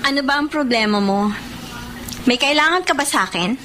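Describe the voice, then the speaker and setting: A woman acting in a radio drama as a spoiled, suspicious young wife with a sharp tongue and a haughty, confrontational manner. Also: sits high, expressive, moderate pace, a little hiss or hum. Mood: accusing, bitter.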